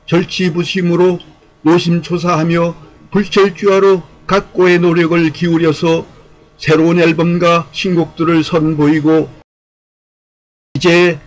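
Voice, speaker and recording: A man's voice: fairly high pitch, rough recording. A man delivering scripted commentary in a formal, speech-like way, with an earnest, rhetorical, declamatory manner. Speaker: YouTube channel commentator.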